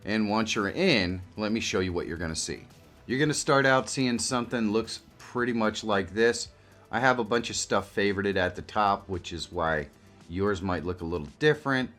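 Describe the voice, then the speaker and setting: Low-voiced man presenting a tutorial. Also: a bit bright. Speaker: video creator and presenter. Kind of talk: tutorial narration to camera and screen. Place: small indoor home studio. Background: music.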